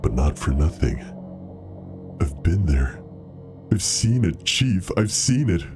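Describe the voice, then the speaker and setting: A man's voice: sits low, sing-song, slow pace, over music, full character voice. A man putting on a smug, sinister professor. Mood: grave.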